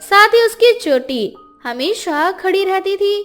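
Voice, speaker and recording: A woman narrating a scripted moral story in a steady storytelling manner. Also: very high-pitched, takes it slowly. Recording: music bed behind voice.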